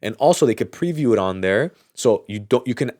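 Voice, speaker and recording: A man's voice: mid-range voice, lively intonation, fairly quick, a little mellow, dry recording, studio quality. A man speaking in a casual podcast chat, explaining things informally as a host. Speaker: audio mixing engineer.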